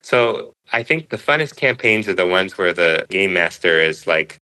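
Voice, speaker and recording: A man's voice: deep voice, animated, moderate pace, pushed and bright, clean tone. A man speaking as an interview guest, a cartoonist explaining his craft in a thoughtful, conversational way. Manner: thoughtful, explains through analogies.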